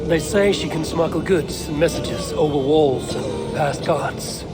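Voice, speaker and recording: Man acting a steadfast, higher-pitched Norse warrior. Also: unhurried, over loud music, close to an everyday voice. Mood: firm. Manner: plain, blunt, earnest. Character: steadfast, terse Norse warrior seeking truce. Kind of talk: dramatic game cutscene acting. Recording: heavy ambient noise behind.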